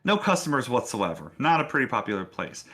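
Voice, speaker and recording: Guy running a dnd stream, bright voice, casual and encouraging, bit of music in the back. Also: medium pitch, very animated, brisk. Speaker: game master and host of a tabletop show. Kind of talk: casual hosting and story recap of a tabletop game. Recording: faint music behind. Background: faint music.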